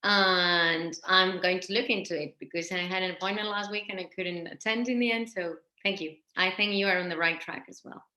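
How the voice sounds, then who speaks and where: A woman's voice: lowish voice, fairly even intonation, a little fast, few pauses, sharp tone. A woman from Argentina joining a webinar by video call, speaking casually and laughing lightly between phrases. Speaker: webinar participant, language school staff. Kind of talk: casual remarks. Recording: echoey room over a video call.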